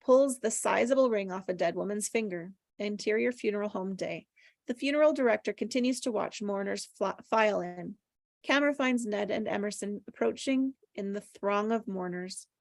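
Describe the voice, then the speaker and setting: A woman's voice: mid-range voice, normal speed, gravelly, no echo, clean studio sound. A woman reading stage directions as scripted narration at a table read.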